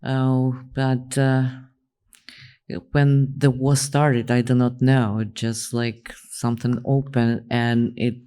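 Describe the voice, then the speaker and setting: A middle-aged man from Ukraine with a Ukrainian accent, a native Russian speaker, answering on a panel in slow, halting English full of fillers. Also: medium pitch, level tone, warm tone, clean tone.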